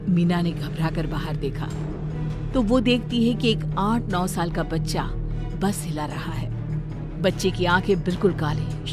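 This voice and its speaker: A woman narrating a scripted story in a dramatic storytelling style. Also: fairly low pitch. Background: background music.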